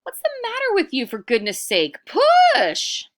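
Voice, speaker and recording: A woman reading a storybook aloud as a sly trickster man, bossy and pleading, with theatrical shouts and wheedling. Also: very high voice, wildly swooping pitch, a little slow, voice pushed up, full character voice. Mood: bossy, impatient. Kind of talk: storybook read-aloud character voice.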